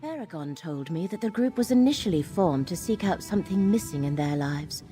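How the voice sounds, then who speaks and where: A solemn, scholarly game character, acted out by a woman, speaks formally and with measured care. Her voice is on the low side and very mellow. Faint music plays behind her. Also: animated, natural voice. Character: grave, scholarly woman guide to ancient ruins. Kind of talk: fantasy video game dialogue.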